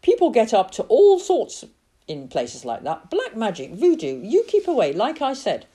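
A woman reads as a chattering, fussing middle-aged aunt in a storybook, raising her voice as she nags and passes on gossip. Her pitch swings widely, and the voice stays husky underneath. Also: huge pitch swings, normal speed, mellow, heavily acted. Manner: chattering, scolding, full of hearsay.

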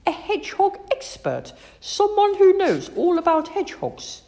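A woman voicing an excited, earnest young schoolgirl in a children's storybook reading. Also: lowish voice, fairly quick, vocal fry, full character voice. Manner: earnest, exclaiming. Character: excited, eager young schoolgirl who loves hedgehogs.

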